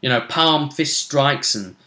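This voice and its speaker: A man co-hosting a casual recap of a tabletop role-playing game, telling it haltingly with dramatic emphasis. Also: medium pitch, lively intonation, bright, forceful tone.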